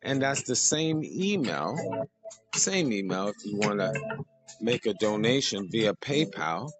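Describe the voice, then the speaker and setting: A man hosting his podcast with a slightly hoarse voice, talking casually and repeating contact details in an easygoing, rambling way. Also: fairly low pitch, big pitch swings, takes it slowly, music in the background. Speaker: podcast host. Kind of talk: casual podcast talk with sponsor plugs.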